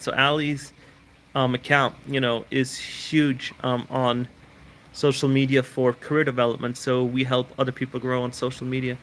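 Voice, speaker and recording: A man who grew up in Switzerland, chatting loosely through a live question-and-answer stream. His voice is bright and forward, at an ordinary middle pitch. He rambles, restarts his sentences and repeats words as he reads out viewer questions and answers them. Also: a little hiss or hum. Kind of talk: casual live Q&A chat.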